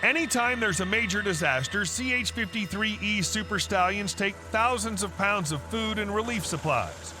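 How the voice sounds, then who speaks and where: A man reading scripted narration for a military news video in an even, formal tone. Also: high-pitched, normal speed, few pauses. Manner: even, formal, informational delivery. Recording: narration over loud vehicle noise.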